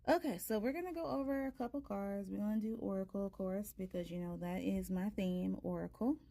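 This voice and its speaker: A woman in her thirties with a soft voice, a tarot reader chatting casually and ramblingly with her viewers. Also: medium pitch, brisk, creaky. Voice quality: soft-spoken. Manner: rambling, many fillers. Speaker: tarot reader, channel host.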